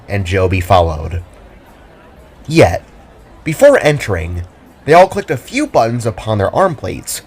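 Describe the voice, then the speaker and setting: A man narrating his own audio drama in a descriptive storytelling style. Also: mid-range voice. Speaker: narrator and writer.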